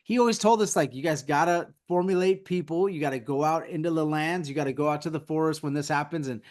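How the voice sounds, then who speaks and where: A man in his forties with a slightly higher voice, hosting a casual podcast. He talks loosely, packing his sentences with fillers. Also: quick pace, a little mellow. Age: 40s. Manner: loose, filler-heavy talk.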